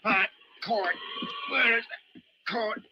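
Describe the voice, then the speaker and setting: High-voiced, intense lighthouse keeper, old radio. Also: male voice, slow, very noisy. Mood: frantic straining. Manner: breathless, intense, dramatic storytelling. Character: desperate male lighthouse keeper narrating a storm ordeal. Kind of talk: old-time radio drama acting. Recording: muffled old radio recording with dramatic music.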